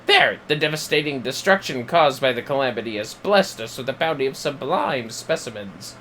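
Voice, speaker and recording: A man voicing a pompous, excitable young male scholar from a fantasy video game, with florid, theatrical delivery. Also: mid-range voice, moderate pace, a little hiss or hum, lightly acted. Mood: eager, pompous. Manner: grandiose, florid, alliterative, theatrical exclamations.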